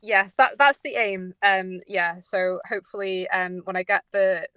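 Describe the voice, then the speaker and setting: A woman's voice: mid-range voice, fairly even intonation, unhurried, a bit bright, clear, resonant tone, dry recording, dull audio. A woman giving informal, unscripted radio interview answers as a drama writer, hesitant and often correcting herself as she goes. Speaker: audio drama writer and producer, interview guest.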